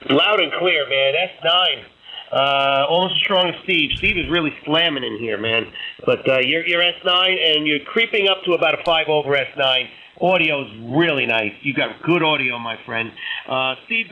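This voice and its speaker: A man's voice: a little high, lively intonation, normal speed, sharp tone. A man speaking casually over two-way radio as an amateur operator giving reports. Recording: slightly dull lo-fi radio signal.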